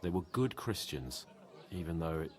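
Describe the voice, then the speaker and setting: An actor playing a sharp-tongued young nobleman who grumbles and complains sarcastically. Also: deep voice, sing-song, normal speed. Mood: mournful.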